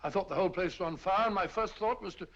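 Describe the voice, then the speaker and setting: An actor playing a fussy, stammering doctor in an old radio drama. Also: male voice, high voice, fast, fairly bright tone. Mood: flustered. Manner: fussy, stammering, easily rattled. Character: flustered bumbling male doctor companion, middle-aged. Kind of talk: 1940s radio acting. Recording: muffled old radio recording with faint hiss.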